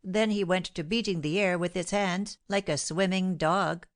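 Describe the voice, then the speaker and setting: Sly chattering manservant voiced by a woman, raised voice, theatrical, drawn out, low-ish tone. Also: steady tone, close to an everyday voice. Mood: gossiping. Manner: dramatic, drawn-out storytelling. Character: boastful, sly male servant, gossiping.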